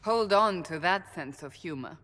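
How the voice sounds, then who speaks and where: A woman's voice: fairly low pitch, expressive, moderate pace, fairly bright tone, in a large hall. An actress playing a stern female warrior leader who speaks in a firm, clipped, matter-of-fact way.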